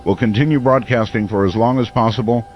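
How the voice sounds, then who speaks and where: An actor playing a grave, steadfast male late-night radio host, broadcasting in a solemn, measured, portentous manner in an audio drama. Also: mid-range voice, steady tone, highs cut off, lightly acted. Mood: resolute.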